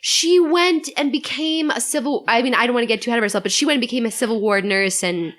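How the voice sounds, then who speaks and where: A woman's voice: on the high side, fast talker, a bit bright. A woman speaking as a podcast guest in a casual, opinionated history chat. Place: in person around a table.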